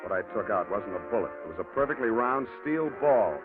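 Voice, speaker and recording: A hardboiled private detective, played by an actor in a 1940s radio drama, with a lowish voice and a clipped, dry way of talking. Music runs under him, and it all sounds thin and tinny like an old broadcast. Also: male voice, animated, a little fast, natural voice. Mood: narrating.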